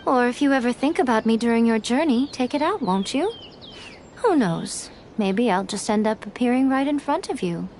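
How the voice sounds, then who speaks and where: A woman playing a teasing, serene fox shrine maiden in a video game, with a coy, unhurried manner. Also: a little high, expressive, a little mellow, slight background noise. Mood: playful, teasing.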